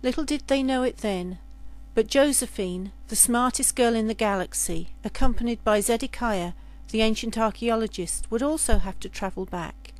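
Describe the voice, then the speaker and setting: A woman's voice: on the high side, rough recording. A woman storyteller reading a scripted science fiction tale as a narrator.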